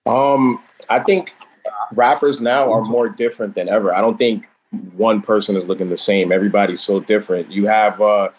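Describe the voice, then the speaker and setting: Man, remote guest, low voice, easygoing, faint background noise. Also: fairly even intonation, normal speed, rough recording. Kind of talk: casual interview chat. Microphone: remote call connection.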